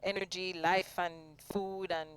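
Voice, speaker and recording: A woman's voice: on the low side, little pitch movement, measured pace. A woman with a Jamaican accent, talking earnestly and expansively as a singer being interviewed. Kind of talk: conversational radio interview.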